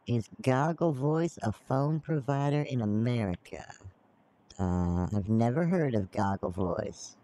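A man's voice: mid-range voice, expressive, unhurried, dark tone. A middle-aged man on a live-streamed scam call, folksy and feigning ignorance as a naive mark. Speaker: scambaiter playing a naive mark.

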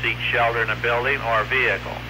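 A male weather radio announcer with a mid-pitched voice reads a scripted severe storm warning. The 1990s broadcast recording has clear noise and hum behind him. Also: level tone, fast talker.

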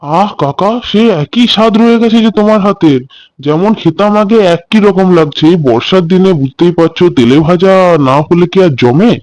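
A man acts out a doting village father in a children's cartoon, chatty and full of exclamations. His voice sits a little high and is recorded close and dry. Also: moderate pace, a little mellow, no room sound, muffled recording, close to an everyday voice. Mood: pleased, chatty. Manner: exclaiming, chatty, emphatic repetition. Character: doting, hearty village father who loves food. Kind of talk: Bengali cartoon story voice acting.

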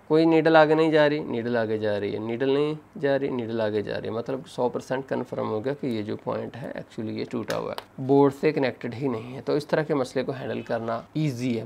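A man gives a hands-on tutorial in a gravelly, creaky voice. His pitch sits in the middle range. He explains each step in order and often addresses the viewers as friends. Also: darkish tone, slight background noise. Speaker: phone repair instructor.

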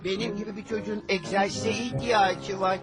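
Man as a high-pitched cheeky cartoon schoolboy. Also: fairly even intonation, moderate pace, music in the background, natural voice. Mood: whiny, wry. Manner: wry, whiny, wisecracking. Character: cheeky, lazy, wisecracking schoolboy son. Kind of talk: dubbed cartoon acting.

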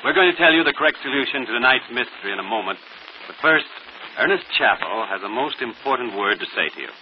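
Actor as animated radio detective, old noisy recording. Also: male voice, mid-range voice, fast, muffled recording, lightly acted. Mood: courteous, hosting. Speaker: detective and program host. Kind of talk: 1940s radio acting.

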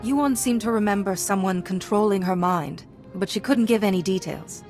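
A woman's voice: mid-range voice, moderate pace, a little mellow, soft background music, lightly acted. Woman as a composed young Jedi heroine. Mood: composed, earnest. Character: composed, dutiful young female Jedi hero. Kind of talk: video game voice acting.

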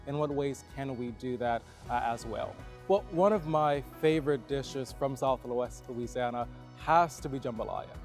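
A man's voice: medium pitch, a little mellow. A young man speaking in a thoughtful, articulate way in an outdoor campus interview. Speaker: homecoming court nominee.

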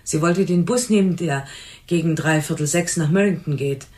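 A shaken female motel receptionist, acted by a woman in a radio crime drama. Her voice sits on the low side. She is hesitant and flustered, groping through what she remembers. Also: darkish tone, close to an everyday voice. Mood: flustered, earnest. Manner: hesitant, flustered, halting recollection.

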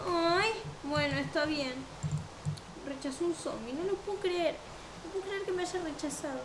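High-voiced young Argentine-sounding woman. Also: normal speed, reverberant, background noise. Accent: Rioplatense Spanish. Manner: expressive, asks many questions. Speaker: video game streamer. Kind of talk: improvised gameplay roleplay chat. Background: music.

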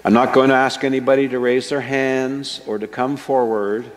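Older male preacher, earnest and deliberate, reverberant sanctuary sound. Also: medium pitch, little pitch movement. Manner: slow, measured and earnest, stressing key words. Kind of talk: sermon. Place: church sanctuary with a stage, indoors. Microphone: microphone at a wooden pulpit, fixed camera. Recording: long church reverb.

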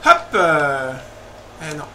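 A man casually chatting while playing a football video game, shouting excitedly at goals. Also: a little high, takes it slowly, over a noisy crowd. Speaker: gamer co-host. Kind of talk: casual gaming commentary. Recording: roomy, far-off mic, game noise.

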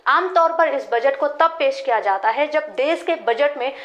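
High-voiced young woman presenting news. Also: lively intonation, normal speed, fairly bright tone, highs cut off. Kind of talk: scripted news explainer narration.

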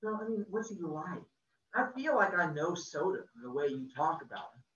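A man reading aloud, voicing a curious, gentle teenage girl who asks questions softly. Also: on the high side, very animated, moderate pace, reverberant, far from the mic, dull audio.

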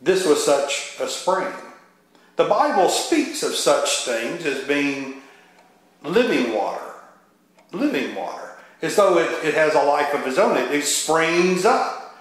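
A middle-aged man preaching an extemporaneous verse-by-verse Bible sermon in an earnest, reflective, halting way, repeating phrases as he goes. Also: a little high, big pitch swings. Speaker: Baptist preacher. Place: live sermon relayed to a radio station. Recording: very echoey, reverberant room sound.

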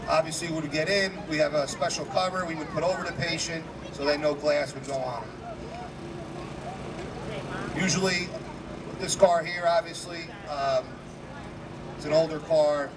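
A man, a fire captain giving a live demonstration talk with a strong, loud voice and many fillers. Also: fairly high pitch, fairly even intonation, normal speed, pauses often. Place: outdoor parking lot. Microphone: handheld microphone to a PA. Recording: distant PA voice, loud outdoor noise.

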